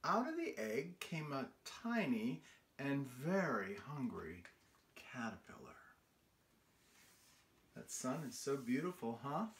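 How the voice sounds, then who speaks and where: A middle-aged American man reads a picture book aloud for young children. His delivery is slow and animated, rising and falling widely with sing-song emphasis. He addresses his young listeners directly as a storytime host. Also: mid-range voice, a little hiss or hum. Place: small room indoors on a couch.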